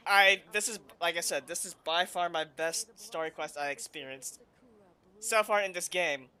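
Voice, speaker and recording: Bright excitable gameplay commentator. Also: mid-range voice, moderate pace, clean tone. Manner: excitable, rambling, gushing. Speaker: game streamer. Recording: faint game music behind.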